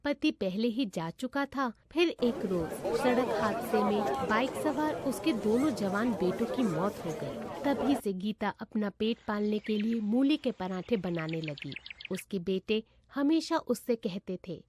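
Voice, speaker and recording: A woman's voice: mid-range voice, animated, unhurried, a crowd in the background, noisy background. A woman narrator reading a scripted moral story.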